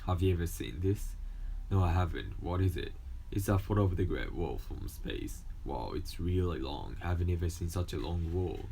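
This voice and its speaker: Deep gravelly man, halting reading. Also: animated, mellow, slight background noise. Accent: mostly American English with a slight Japanese trace. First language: Japanese. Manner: halting, with restarts and self-corrections. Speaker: English learner reading a textbook aloud. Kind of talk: casual talk, then reading school textbook passages aloud.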